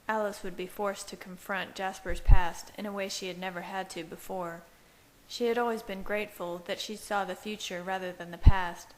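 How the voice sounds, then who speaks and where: A woman's voice: medium pitch, in a large hall, low-quality recording. A woman reading scripted fiction aloud as an amateur audiobook narrator. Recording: hissy home recording.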